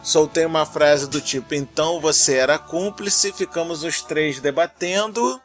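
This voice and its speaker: A man's voice: fairly high pitch, unhurried, fairly bright tone, faint music behind. A man with a Brazilian accent, speaking as a podcast host as he reads out listener mail and thanks.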